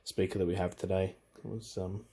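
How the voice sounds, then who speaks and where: Male hobbyist presenter, lowish voice, informal and unscripted, hesitant as he talks through gear. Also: little pitch movement, warm tone, breathy edge. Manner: hesitant, halting, thinking aloud while handling the machine. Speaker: hobbyist presenter demonstrating vintage audio gear. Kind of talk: casual unscripted equipment walkthrough.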